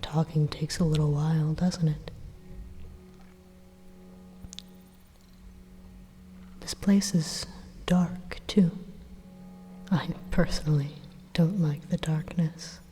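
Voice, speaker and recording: An evil supercomputer , acted by a woman, murmurs inside your head in a dark, breathy whisper. It is slow and deliberate, calmly threatening while it coaxes, in ASMR roleplay style. The whisper hangs in a long reverb. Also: low voice, slight background noise. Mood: coaxing, eerie calm.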